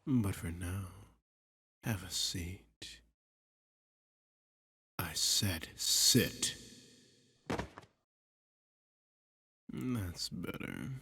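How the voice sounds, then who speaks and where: A man playing a flirty, menacing masked villain in an ASMR roleplay, breathy and husky, often whispering, with a domineering, teasing, condescending manner. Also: low voice, a bit bright, full character voice. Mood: commanding, teasing.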